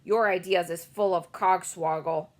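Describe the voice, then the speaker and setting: A woman voicing a big, kindly male giant who talks in slow, muddled grammar. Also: on the low side, steady tone, natural voice. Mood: scoffing. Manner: mangled grammar, slow and emphatic.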